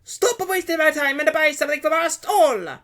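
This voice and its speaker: A narrator voicing a scornful, loud woman stall keeper with a booming, haughty delivery in a story. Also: wildly swooping pitch, measured pace, creaky, heavily acted. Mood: angry, impatient.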